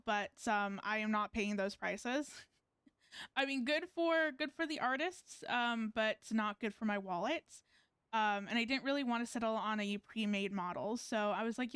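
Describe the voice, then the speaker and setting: Female VTuber, casual rambling game-stream chat, high clear voice, very little room sound. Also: a bit bright, no room sound, clean studio sound. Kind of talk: casual livestream chat while gaming.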